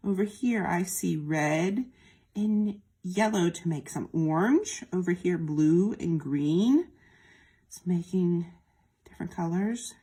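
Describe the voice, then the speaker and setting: A woman's voice: fairly low pitch, takes it slowly, dark tone. A woman presenting a children's lesson in a sing-song way, speaking directly to young viewers and prompting them as she goes. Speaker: children's storytime presenter at a library. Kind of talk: children's lesson with repetition and demonstration.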